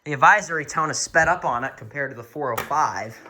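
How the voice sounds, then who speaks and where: A man's voice: fairly high pitch, fairly quick, very noisy. A man giving a casual show-and-tell as a hobbyist host, rambling and correcting himself, recorded on a handheld camera in a room at home. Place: small furnished room at home, indoors. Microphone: handheld camera or phone, behind the lens.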